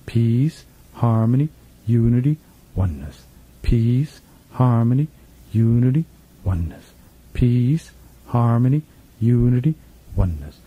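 A man leading a guided meditation as a spiritual teacher, gently instructing in a soothing, repetitive manner with trailing phrase endings, recorded in a studio. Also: medium pitch, lively intonation, a little slow, pauses often, breathy edge, phone-quality sound. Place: professional studio.

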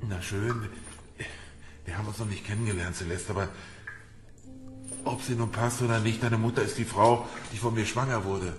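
An actor playing a frightened captive banker in a radio crime drama, stammering and indignant. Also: male voice, fairly low pitch, steady tone, natural voice. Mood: shaken, hesitant. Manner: halting, stammering. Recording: echoey pool-like room, music behind.

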